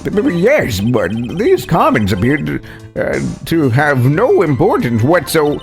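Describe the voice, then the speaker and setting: A man voicing a flustered older male judge in a courtroom video game, speaking in a halting, stammering way. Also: a little high, huge pitch swings, soft background music, heavily acted. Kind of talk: video game voice-over, read live.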